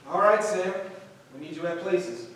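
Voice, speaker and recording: Male actor as humble teacher-director, high voice, stage drama, distant and reverberant with faint noise. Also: lively intonation, moderate pace. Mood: grateful. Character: humble acting teacher turned director, proud and emotional. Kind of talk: staged play reading. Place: large echoey indoor room. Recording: echoey hall from afar, faint noise.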